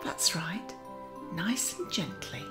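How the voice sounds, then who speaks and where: A woman as a slow, gentle magical storytelling auntie. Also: lowish voice, sing-song. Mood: tender, wondering. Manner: soothing, breathy, often near-whispered, addressing small children. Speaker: children's guided meditation storyteller. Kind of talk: guided meditation and storytelling for children. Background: music.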